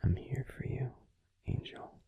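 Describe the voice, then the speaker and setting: A man playing a grumpy but tender werewolf boyfriend in an intimate, slow, soothing whispered sleep-aid roleplay. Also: narrow, tinny audio, close to an everyday voice. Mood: tender, reassuring. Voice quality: breathy, husky whisper.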